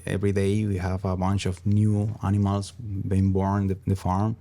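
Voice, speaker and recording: A man from Venezuela with a Venezuelan Spanish accent, answering interview questions in a halting way as he searches for words. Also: low voice, monotone, dark tone. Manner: halting, searching for words, frequent "you know". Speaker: interview guest, small business founder.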